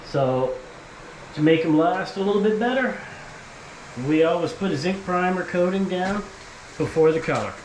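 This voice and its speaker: A man's voice: high voice, animated, moderate pace, long pauses. A man hosting a casual workshop vlog, explaining hands-on work step by step in plain words. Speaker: host, powder coating tradesman. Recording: noisy workshop background.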